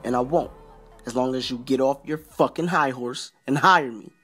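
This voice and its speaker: A man's voice: mid-range voice, moderate pace, fairly bright tone, husky, faint music behind. A man playing a bitter, profane and confrontational ex-husband in a modern audio drama. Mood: smug, confrontational.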